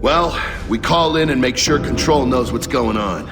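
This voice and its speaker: An actor playing a cocky, brisk young army lieutenant in a video game cutscene. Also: male voice, medium pitch, music in the background, close to an everyday voice. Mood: matter-of-fact.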